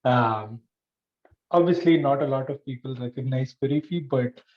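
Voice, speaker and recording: A man's voice: mid-range voice, moderate pace. A man talking casually to colleagues in a meeting, phrasing things piecemeal and a little hesitantly. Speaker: meeting participant. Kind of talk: casual anecdotal meeting talk. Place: online video call. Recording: slightly dull call audio.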